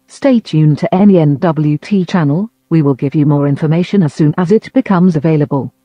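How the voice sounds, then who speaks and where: Male announcer, measured and official, scripted emergency bulletin. Fairly high but dark tone, very dry recording. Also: no echo. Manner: formal, measured. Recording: dull lo-fi audio.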